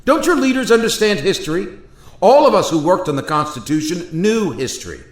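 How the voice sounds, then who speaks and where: A man's voice: high voice, a bit bright. A man acting an indignant Thomas Jefferson in a radio play, speaking in an emphatic, formal, oratorical way. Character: indignant Thomas Jefferson, aghast at modern America. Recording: roomy, echoey voice recording.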